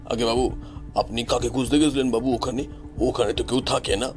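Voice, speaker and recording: Guy putting on a low deep voice as a humble guard, grave and insistent, story with music. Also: level tone, brisk, natural voice. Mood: puzzled. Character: deferential male office guards, grave and insistent. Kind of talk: dramatised audio storytelling.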